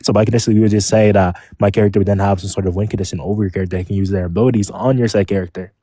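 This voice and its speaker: A man in a casual online voice-chat debate, arguing rapidly in jargon and talking over interruptions. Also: lowish voice, steady tone, mellow, no room sound, clean studio sound.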